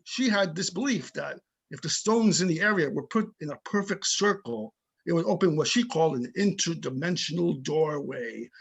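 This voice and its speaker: Man to play small-town older police chief for a play reading: tough but friendly, opinionated, husky and high for a man. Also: a bit bright, exaggerated voice. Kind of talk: amateur readers theater reading over videoconference.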